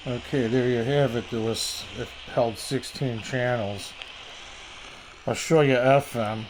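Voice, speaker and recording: A man's voice: medium pitch, fairly even intonation, slow pace, a little hiss or hum, poor audio. A man casually reviewing and demonstrating a product in a rambling, folksy way. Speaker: radio reviewer. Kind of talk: casual hands-on product demo.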